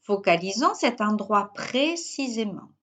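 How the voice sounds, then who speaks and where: A woman guiding a yoga nidra relaxation as a teacher, in short, measured instructional phrases with pauses. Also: medium pitch, animated, a bit bright. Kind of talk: guided yoga nidra meditation.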